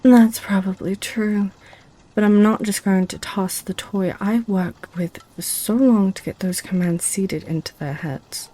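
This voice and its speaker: Woman playing a slow, mellow, seductive succubus. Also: medium pitch, natural voice, rough recording. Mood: possessive, smug. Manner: teasing, sly, unhurried. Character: seductive, possessive, playful succubus. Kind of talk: ASMR roleplay audio drama.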